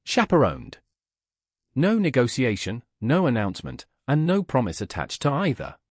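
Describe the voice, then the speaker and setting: A man voicing a principled, self-restrained Regency duke in an audiobook, speaking earnestly and deliberately. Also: mid-range voice, wildly swooping pitch, slightly rough, dry recording, studio quality.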